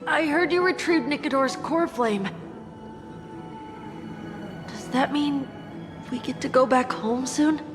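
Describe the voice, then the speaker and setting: A woman's voice: high-pitched, slow pace, long pauses, big-room sound, music in the background. A woman voicing a proud, serious young child in a video game, speaking in an earnest and firm way. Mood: earnest, insistent.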